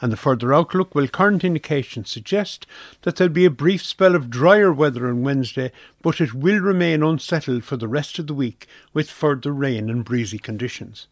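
A man's voice: mid-range voice, moderate pace, no room sound, studio quality. A man with an Irish accent reading a scripted weather forecast for community radio.

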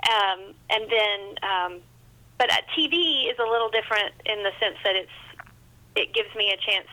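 A woman's voice: mid-range voice, normal speed, bright, forceful tone, poor audio. A woman speaking casually as a guest author in an interview, chatty and self-deprecating.

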